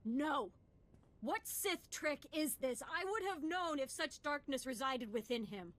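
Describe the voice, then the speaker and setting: A young female apprentice in a space fantasy game. Her voice sits somewhat high, and she speaks with earnest, troubled intensity and open emotion. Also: animated, a little slow, a bit bright. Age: young adult. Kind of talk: video game voice acting.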